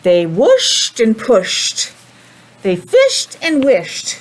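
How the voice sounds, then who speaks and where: A woman's voice: fairly high pitch, extremely slow, slight background noise, rough recording. A woman teacher reading a picture book aloud to young children, with chatty asides and simple questions. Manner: animated, addressing small children with simple questions.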